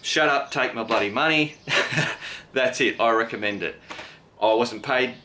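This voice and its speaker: A man's voice: medium pitch, a little slow, pushed and bright, slight background noise. An Australian man giving a casual hands-on product review in a blokey, slangy, mildly sweary way. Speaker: product review video host.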